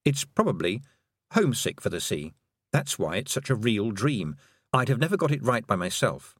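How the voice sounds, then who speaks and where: A man's voice: fairly low pitch, sing-song, normal speed, a bit bright, breathy edge, no echo, studio quality, exaggerated voice. A man voicing a determined young girl witch who speaks earnestly.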